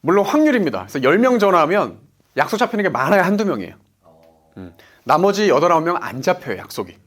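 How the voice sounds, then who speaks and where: A man's voice: a little high, big pitch swings, quick pace, a bit bright. A man in his forties, an insurance sales director, giving a motivational business talk, stressing points and asking himself "why?" before he answers. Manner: emphatic, asks himself "why?" then answers.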